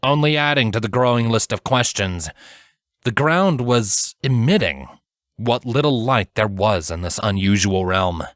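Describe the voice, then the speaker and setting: Slow, low-voiced male fantasy narrator. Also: animated, a bit bright, no echo, studio quality. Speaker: audiobook narrator. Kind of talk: dramatic scripted fantasy story narration.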